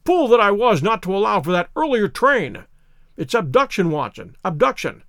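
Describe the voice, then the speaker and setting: A man voicing a brisk, commanding gentleman detective in a storybook reading, with a quick, clear, exclamatory delivery. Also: high-pitched, big pitch swings, no room sound, clean studio sound. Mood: urgent despair.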